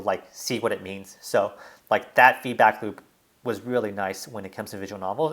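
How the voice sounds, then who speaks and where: A young man in a casual, reflective vlog monologue, rambling and restarting often, with constant "like" fillers. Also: lowish voice. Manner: rambling, heavy use of "like" fillers, frequent restarts. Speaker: language learning vlogger.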